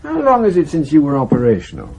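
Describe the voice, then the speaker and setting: A man's voice: on the high side, wildly swooping pitch, fast talker, vocal fry, warm tone, a little hiss or hum, heavily acted. A male actor playing a keen, wry desk intelligence officer in a radio drama, with a questioning manner. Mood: wry, businesslike.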